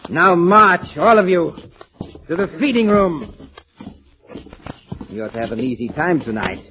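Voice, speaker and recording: A dull, lo-fi vintage radio recording of a mad scientist villain. His fairly high voice is pompous and theatrical, savoring every menace as he gloats like a self-appointed god. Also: lively intonation, measured pace, background noise. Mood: cruel, commanding. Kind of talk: 1940s radio thriller acting.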